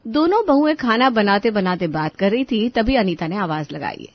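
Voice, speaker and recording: A woman's voice: mid-range voice, animated, normal speed, no echo, highs cut off. A woman narrating a scripted moral story as a storyteller.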